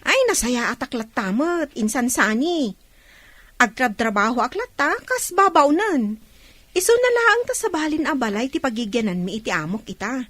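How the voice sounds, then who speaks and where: A woman's voice: high voice, big pitch swings, normal speed, a bit bright, dry recording, full character voice. A woman acting a friendly, chatty housemaid cousin in an Ilocano radio drama, with an Ilocano Filipino accent. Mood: cheerful.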